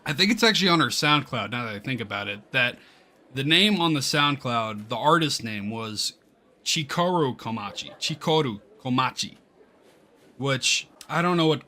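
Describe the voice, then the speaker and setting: A man hosting a gaming video, giving casual let's-play commentary in a rambling, halting way and often interrupting himself. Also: mid-range voice, lively intonation, pushed and bright. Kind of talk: casual let's-play commentary, reading game text aloud.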